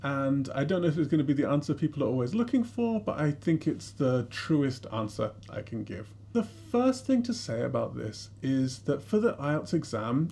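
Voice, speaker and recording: A man's voice: medium pitch, mellow, a little hiss or hum. A man giving an explanatory language lesson in a reassuring, conversational way. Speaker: English language teacher. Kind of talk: explanatory lesson talk to students.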